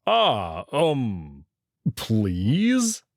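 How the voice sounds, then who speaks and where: A man plays a massive alien wolf from a visual novel. He is expressive and easily exasperated, coaxing in halting phrases. His delivery is unhurried, with big swings of pitch. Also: creaky, no room sound, clean studio sound, full character voice. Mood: coaxing, awkward.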